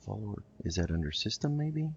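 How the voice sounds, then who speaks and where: A man with a low voice hosts a roleplay gaming session. He talks casually and hesitantly, thinking through his moves as he goes. The sound is a little dull, like a modest home recording. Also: big pitch swings, fairly quick, vocal fry, a little hiss or hum. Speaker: host of a video game roleplay let's play. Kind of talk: casual gameplay commentary.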